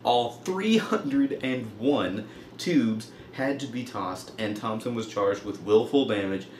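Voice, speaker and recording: A young man giving casual, humorous news commentary in an informal, wry way, with mock-emphatic asides. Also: mid-range voice. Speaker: host of a comedic news web show. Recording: roomy echo, faint background noise.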